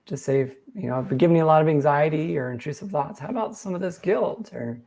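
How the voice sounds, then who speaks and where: A man's voice: a little high, quick pace, vocal fry, a little mellow. A man hosting a live question-and-answer chat who is losing his voice, talking in an earnest, coaching way. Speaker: host reading viewer questions.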